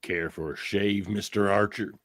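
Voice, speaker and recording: A man playing a folksy, unhurried and quietly commanding Old West sheriff with a drawling manner in an amateur radio play. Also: lowish voice, fairly even intonation, lightly acted. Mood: folksy, smooth.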